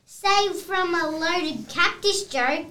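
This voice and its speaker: A very high, bright little cowgirl acted by a child. The lines are read slowly as a play, in an echoey room with some noise. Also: full character voice. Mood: playful. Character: plucky cowgirl girl, complaining child actor.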